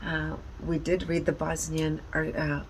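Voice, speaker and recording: A woman giving a conversational, explanatory presentation in deliberate short phrases. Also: deep voice, flat delivery, poor audio. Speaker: speaker presenting energy readings. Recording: background ambient noise.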